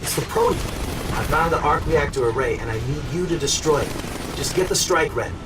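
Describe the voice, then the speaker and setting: A man's voice: fairly high pitch, animated, fairly quick, heavy background noise, lightly acted. A man playing a determined military colonel in a robotic suit in an action video game, talking urgently in clipped military phrases. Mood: commanding, urgent. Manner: clipped military radio talk. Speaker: soldier. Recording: loud music, voice sounds distant.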